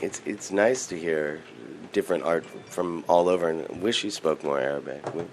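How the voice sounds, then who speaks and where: Man, radio host, low voice, rambling jokey chat, faint background noise. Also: normal speed. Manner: rambling, restarts often, jokey.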